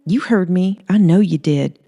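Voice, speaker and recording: A woman's voice: fairly low pitch, normal speed, vocal fry, mellow. Woman putting on a feisty, flirty small-town gal. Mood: indignant.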